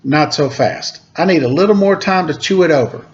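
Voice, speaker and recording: A man's voice: fairly high pitch, lively intonation, brisk, highs cut off. A man reading the part of a practical, teasing, plain-spoken mother in a storybook.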